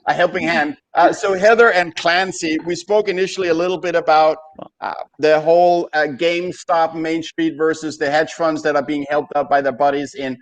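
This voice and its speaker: Male host, fairly high voice, halting delivery, casual online panel discussion. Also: steady tone, rough recording. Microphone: video call.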